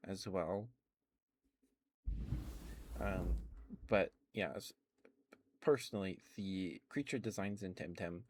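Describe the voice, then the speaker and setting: A man streaming ASMR, chatting softly and rambling with frequent fillers and little laughs. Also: deep voice. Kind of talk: casual rambling chat.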